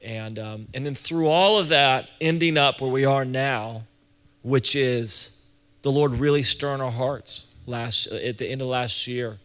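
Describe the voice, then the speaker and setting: A man of about forty speaks as a ministry leader teaching a group, with the long reverb of a hall around his voice. His pitch sits in an ordinary middle range. He talks informally and haltingly, doubling back and repeating phrases as he goes. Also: a bit bright. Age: late 30s to early 40s. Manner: conversational, halting, often restarts and repeats phrases. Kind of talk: informal sermon-style teaching talk to an audience.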